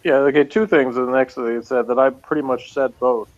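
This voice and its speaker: A man's voice: mid-range voice, steady tone, brisk, lo-fi sound. A man co-hosting a casual review chat, reading jokey notes aloud in a dry, wry way.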